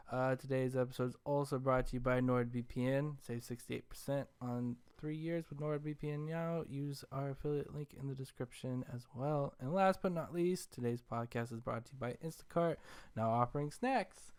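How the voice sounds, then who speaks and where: A man's voice: medium pitch, clear, resonant tone. Easygoing man hosting a casual podcast. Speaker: podcast host. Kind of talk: casual group chat and sponsor reads.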